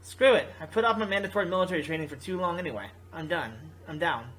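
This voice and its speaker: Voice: mid-range voice, quick pace, fairly bright tone, lightly acted. A video game reader voicing a carefree, proud young male pro gamer who is fed up with his team. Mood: resigned.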